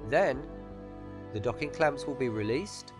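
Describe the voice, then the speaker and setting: A man's voice: mid-range voice, lively intonation, measured pace, over music. A man giving scripted instructional narration as a tutorial narrator for a game voice pack. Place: voice-over with music behind.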